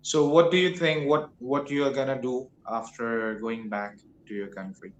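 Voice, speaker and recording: A man who is not a native English speaker, asking a tutor questions over a video call in halting English. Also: medium pitch, fairly even intonation. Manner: short backchannels, halting questions. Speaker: English teacher interviewing a tutor. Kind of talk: casual video call chat. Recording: roomy echo.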